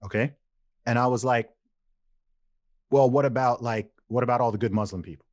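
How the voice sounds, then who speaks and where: A man's voice: medium pitch, normal speed, dry recording, clean studio sound. A man in his thirties from England, a podcast guest who tells stories animatedly, repeats phrases and laughs easily. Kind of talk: casual interview conversation.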